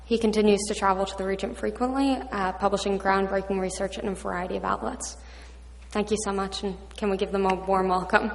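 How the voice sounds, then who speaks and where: A woman's voice: mid-range voice, fairly even intonation, a little fast, gravelly, slight background noise. A woman moderating a conference panel, reading formal introductions of the speakers with light asides. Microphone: microphone. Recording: hall with long reverb.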